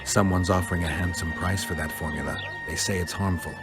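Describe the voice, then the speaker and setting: Man playing a low, deadpan fantasy monster hunter. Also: brisk, loud music behind the voice, natural voice. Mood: cool, firm. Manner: terse, deadpan, dry. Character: stoic, blunt male monster hunter for hire. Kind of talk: fantasy video game acting.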